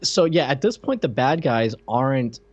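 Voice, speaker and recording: A man's voice: mid-range voice, very animated, moderate pace, a little mellow, no echo. A man co-hosting a podcast, recapping a film in casual, blunt, informal talk. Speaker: podcast co-host. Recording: dull lo-fi voice audio.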